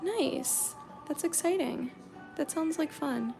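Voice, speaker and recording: High, gravelly-voiced American woman. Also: takes it slowly, over music, low-quality recording. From Georgia, USA. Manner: chatty, reads viewer comments aloud, drops to soft murmurs while painting. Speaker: livestreaming painter. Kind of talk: casual livestream chat.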